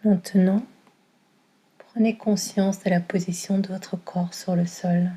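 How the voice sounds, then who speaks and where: A woman guiding a yoga nidra meditation in a calm, soothing manner, with a soft, breathy delivery. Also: medium pitch, measured pace. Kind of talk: guided meditation.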